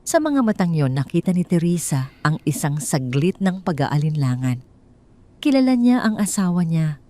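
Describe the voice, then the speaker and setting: A woman's voice: low voice, sing-song, moderate pace, warm tone. A woman narrating a scripted audio drama story in a dramatic storytelling style.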